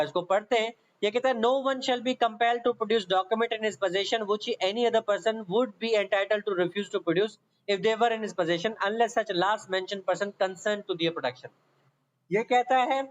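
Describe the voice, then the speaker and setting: A man with a Pakistani accent giving an instructional exam-prep law lecture, mixing in English legal terms and often checking that listeners follow. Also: high-pitched. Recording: dull, lo-fi sound.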